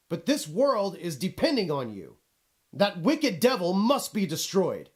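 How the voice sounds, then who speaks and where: An actor playing a pompous, exasperated video game narrator who scolds the player in a dramatic, haughty, petulant way. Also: mid-range voice. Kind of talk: theatrical scripted game narration.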